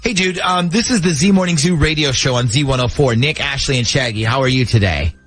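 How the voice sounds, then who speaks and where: A man's voice: mid-range voice, animated, fairly quick, fairly bright tone, faint music behind. A man hosting a casual morning radio show, talking through a prank call.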